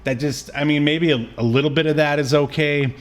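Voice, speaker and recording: A man's voice: a little high, fairly even intonation, a little fast. A man co-hosting a podcast, chatting casually about pop culture with hesitant, rambling phrasing and trailing asides. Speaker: podcast co-host.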